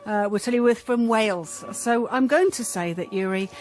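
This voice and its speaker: A woman's voice: on the high side, moderate pace, faint music behind. A woman on a radio panel giving a blunt, teasing verdict.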